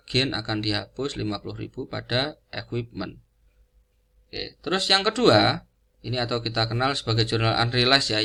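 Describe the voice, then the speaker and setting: A man's voice: mid-range voice, gravelly, bright, forceful tone. A man giving an unscripted lesson, explaining step by step in a didactic way and repeating key figures. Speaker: accounting lecturer.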